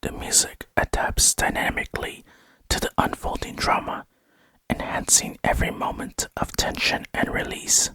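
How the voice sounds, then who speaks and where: A man narrating a gaming video in a breathy ASMR whisper, speaking softly and in a measured way close to the microphone. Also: no room sound. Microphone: close microphone, dry sound.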